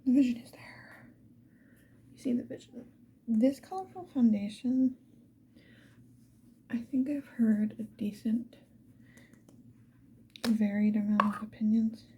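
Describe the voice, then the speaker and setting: A woman's voice: fairly high pitch, slow pace, long pauses, a little mellow, slightly rough. A woman whispering breathily in a casual, rambling ASMR style, unhurriedly thinking aloud. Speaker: ASMR video creator.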